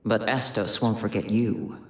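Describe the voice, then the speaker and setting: An actor playing a detached, officious overseer giving a formal, matter-of-fact briefing in a video game. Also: male voice, on the low side, fairly even intonation, a little slow, close to an everyday voice. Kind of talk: cinematic video game acting. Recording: echoey, reverberant voice.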